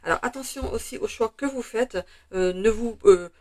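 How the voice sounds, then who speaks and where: A French woman with a slightly hoarse voice, chatting through a tarot card reading with many hesitations and fillers. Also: fairly high pitch, moderate pace. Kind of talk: casual weekly tarot reading.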